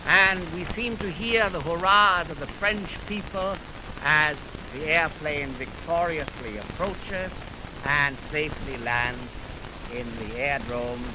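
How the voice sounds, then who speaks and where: A crackling, hiss-laden 1929 radio recording carries a man with a fairly high voice. He speaks formally and declaims in deliberate phrases, like a lecturer introducing an orchestral piece to listeners. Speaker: radio music presenter. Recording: noisy, crackling 1929 radio aircheck on film. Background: steady hiss and crackle of old recording.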